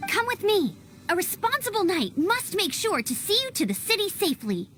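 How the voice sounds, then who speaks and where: Perky young knight scout lady, game voice, really high and animated, earnest, music in the back. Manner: brisk, earnest, eager, mildly officious. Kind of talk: video game voice acting. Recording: music behind the voice. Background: game soundtrack music.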